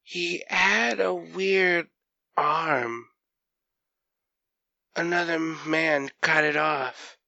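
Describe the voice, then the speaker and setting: A performer voicing a young furry creature boy in an audio drama, earnest and curious, with halting, rustic speech. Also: mid-range voice, vocal fry, bright, forceful tone, no echo, lo-fi sound, pitched above the performer's own voice, heavily acted. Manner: halting, rustic grammar, questioning.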